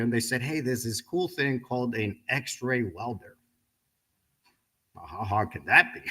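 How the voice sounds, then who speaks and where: Bright-voiced man in his fifties from the Dominican Republic. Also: mid-range voice. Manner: animated, joking, asks the audience questions. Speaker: guest speaker at a graduation ceremony. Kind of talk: motivational speech with personal stories. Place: event hall with a live audience.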